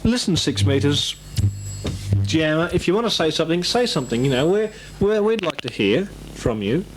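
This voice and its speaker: A man's voice: fairly high pitch, lively intonation, moderate pace, music in the background. A man chatting casually as an amateur radio operator, rambling and hesitant, often repeating himself.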